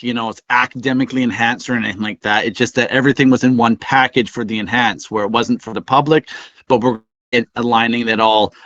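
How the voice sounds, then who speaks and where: Guy with a medium pitched voice explaining things informally, dry dull online call. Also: fairly bright tone, no room sound. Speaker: program representative. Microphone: online meeting call.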